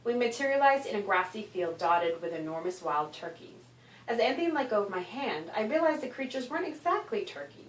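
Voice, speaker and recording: A woman author reading a children's story aloud in an expressive, animated narration with dramatic emphasis. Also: mid-range voice, a little fast. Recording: roomy echo, distant microphone.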